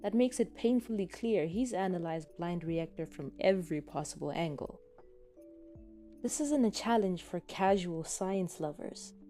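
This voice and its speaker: A woman's voice: fairly low pitch, lively intonation, measured pace. A woman reading scripted second-person story narration, with music behind her. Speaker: podfic narrator. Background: soft background music.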